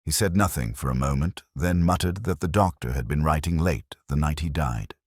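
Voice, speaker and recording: A middle-aged man reading a scripted first-person detective story in a measured, brooding, literary manner. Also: a bass voice, dry recording, clean studio sound.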